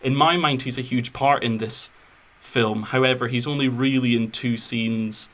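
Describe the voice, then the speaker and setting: A man's voice: medium pitch, fairly even intonation, normal speed, fairly bright tone. A man presents a film podcast in a mid-range voice. He moves between reading prepared career notes and loose, chatty asides. His manner is wry and informal. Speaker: podcast host. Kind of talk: semi-scripted film podcast chat with biographical narration.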